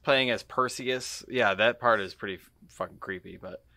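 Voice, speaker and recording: A man co-hosting a podcast in casual chat, talking in a halting, searching way. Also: lowish voice, pushed and bright, no echo. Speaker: podcast co-host.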